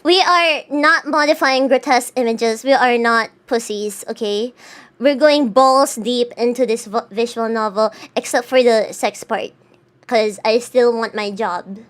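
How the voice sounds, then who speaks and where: High-voiced young woman streamer. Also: measured pace. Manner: chatty, answers chat, self-mocking. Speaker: VTuber streamer. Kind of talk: casual livestream chat. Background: faint background music.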